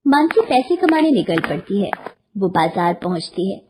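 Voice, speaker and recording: A woman's voice: medium pitch, big pitch swings, moderate pace, background noise. A woman storyteller giving scripted narration of a children's moral story.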